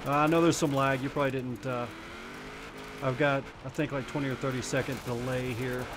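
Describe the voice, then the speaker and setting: A man's voice: medium pitch, steady tone, a little fast. A man in his forties from Kentucky, chatting casually and teasing viewers while he plays a racing game on a live stream. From Kentucky, USA. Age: 40s. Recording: game car noise behind voice. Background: racing game engine sounds.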